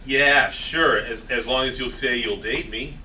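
A man's voice: mid-range voice, measured pace, bright, forceful tone. A man playing an awkward, lovelorn young barista who stammers hopefully through a sitcom table read. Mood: eager. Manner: hesitant, stammering. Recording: distant camera mic, faint noise.

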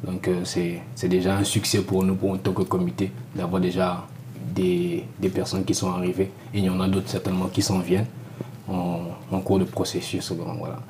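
A young man from the Republic of the Congo, a graduate student, answering in a studio TV interview with measured speech that often repeats and rephrases itself. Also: sits low, completely flat delivery, a little mellow, slight background noise. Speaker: graduate student, committee volunteer. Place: small TV studio. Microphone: lapel.

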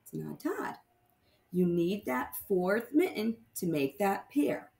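Animated woman teacher in a roomy space. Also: medium pitch, slow pace, gravelly. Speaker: primary math teacher. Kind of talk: read-aloud math lesson for young children.

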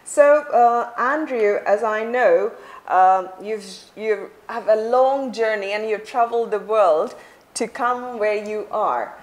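A woman hosts a studio talk show, speaking slowly and deliberately into a lapel mic. Her questions come in careful pieces with small restarts. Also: a little high, low-quality recording. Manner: slow, measured questions with restarts. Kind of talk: studio interview. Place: TV studio set.

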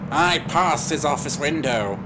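Casting: male actor as a musing, gossipy husband, mid-pitched and unhurried, heavy ambience behind. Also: lively intonation. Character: gossiping husband, measured and pitying. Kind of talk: audio drama acting. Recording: loud ambient background noise.

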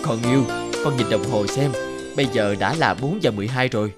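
A patient, principled cartoon dad, acted by a man, with a medium-pitched voice. He instructs and encourages like a parent, over clearly audible music. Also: brisk, natural voice. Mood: firm. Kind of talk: children's cartoon voice acting.

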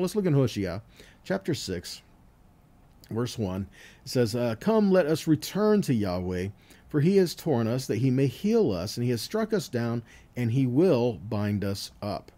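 Guy doing a casual bible teaching, soft mellow tone, pitch moves around a lot, asks questions as he goes. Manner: conversational, checks in with rhetorical questions and "right?". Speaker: Bible teacher giving a weekly scripture teaching. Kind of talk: informal teaching sermon with scripture readings.

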